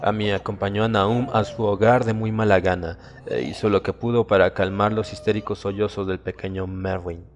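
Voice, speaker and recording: A man narrating a horror audiobook in a dramatic, solemn and suspenseful manner, with deliberate phrasing. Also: lowish voice, steady tone. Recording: long reverb, music behind. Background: music.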